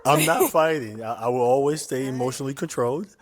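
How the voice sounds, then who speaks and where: A man's voice: mid-range voice, fast talker, no room sound. A man in his thirties with a Liberian accent, a dating show contestant speaking politely and earnestly. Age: 30s.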